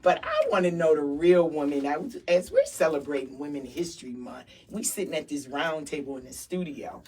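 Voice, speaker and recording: A woman's voice: low-pitched, sing-song, a little fast, a little mellow, recorded from a distance. A woman hosting a round table radio talk in a studio, upbeat and promoting the station's events. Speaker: radio talk show host.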